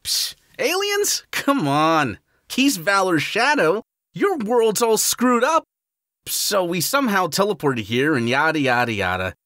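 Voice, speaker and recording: A woman voicing a cocky, excitable young male hedgehog hero with a bouncy, wisecracking manner. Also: lowish voice, unhurried, no echo, studio quality.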